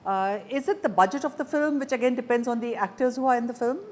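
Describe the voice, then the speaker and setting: A woman's voice: fairly high pitch, quick pace, darkish tone. A woman hosting a conversational interview. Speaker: interview show host.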